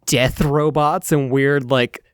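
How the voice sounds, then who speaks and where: A man narrating an improvised tabletop game as game master, informal and halting. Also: medium pitch, steady tone, smooth, no echo, studio quality.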